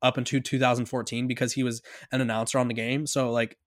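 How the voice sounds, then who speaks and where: A man's voice: medium pitch, quick pace, dry recording, clean studio sound. Ranting male sports podcast host. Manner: animated, ranting, laughs often. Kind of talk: casual sports podcast chat.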